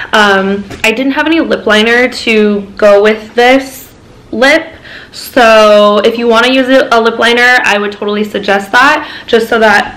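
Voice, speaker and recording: A woman's voice: medium pitch, a bit bright, low-quality recording. A young woman chatting casually to camera as a beauty vlogger, her voice a little off from being sick, with lots of ums and likes. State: sick, voice a bit off.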